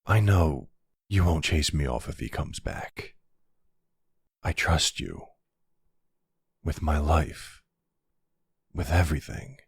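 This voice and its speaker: Guy doing an older mafia boyfriend for asmr, super low gravelly voice, slow n gentle, comforting. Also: lively intonation, no echo, studio quality, full character voice. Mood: soothing, vulnerable. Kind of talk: intimate ASMR boyfriend roleplay.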